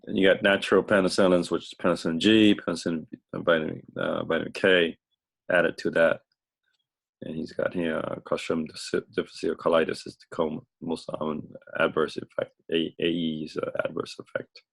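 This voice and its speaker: A man who sounds sick, with a crackling, hoarse voice, giving an informal screen-share lecture in a halting, self-correcting way as host and instructor. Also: deep voice, little pitch movement. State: under the weather, sick.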